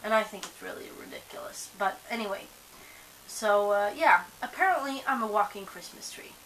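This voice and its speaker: A woman's voice: medium pitch, lively intonation, moderate pace, fairly bright tone, low-quality recording. A young woman whose first language is Swedish, chatting casually to camera, rambling with lots of fillers and restarts, a bit sleepy. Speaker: vlogger. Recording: faint hiss.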